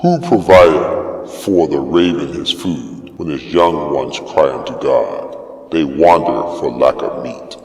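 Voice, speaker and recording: Very deep, reverberant man reading scripture dramatically. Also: slow pace, a little mellow. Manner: solemn, theatrical, rhetorical questioning. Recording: echoey long reverb over music. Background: music.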